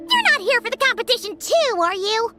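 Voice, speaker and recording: Casting: tiny hovering game sidekick, very high and squeaky, bossy, swoops all over, unhurried, game music behind. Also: female voice, very animated. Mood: indignant. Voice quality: squeaky, indignant. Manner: speaks of herself in third person. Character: small hovering female companion, bossy and excitable. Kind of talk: game cutscene voice acting.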